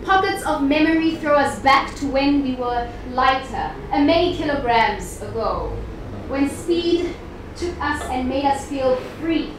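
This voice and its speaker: A woman with a South African accent delivers spoken-word poetry in a high voice, deliberate and dramatic. She leans on key words and leaves pauses between phrases. The recording is very echoey, with clear noise around her. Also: lively intonation. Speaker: poet performer. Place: live event in an echoey indoor venue. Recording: echoey room, distant mic, clear background noise.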